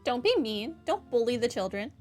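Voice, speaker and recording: A woman comments casually as she plays a video game, at times reading lines aloud. Her voice is on the high side. Game music runs clearly underneath. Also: sing-song, normal speed. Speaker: gamer.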